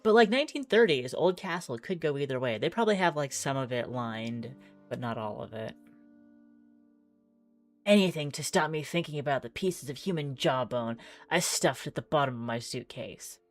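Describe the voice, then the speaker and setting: A woman's voice: rumbling low, animated, normal speed, soft background music. A woman streamer doing chatty game playthrough commentary, reading text aloud and musing as she goes.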